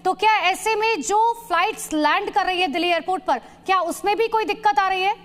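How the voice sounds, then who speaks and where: A woman's voice: very high voice, a little fast. A woman presenting live news as a studio anchor.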